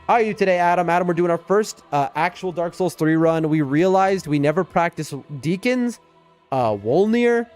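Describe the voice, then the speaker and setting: Higher-pitched New York man chatting on stream. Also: expressive, moderate pace, a little mellow. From US East Coast. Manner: rambling, chatty, addresses viewers. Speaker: game streamer. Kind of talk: casual live game commentary and chat. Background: music.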